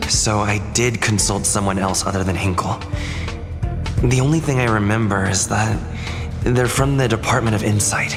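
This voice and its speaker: Actor as a low-voiced, pensive amnesiac investigator. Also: male voice, moderate pace, natural voice. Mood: pensive, puzzled. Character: puzzled, methodical man piecing lost memories together. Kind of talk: video game inner monologue. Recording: loud music behind the voice.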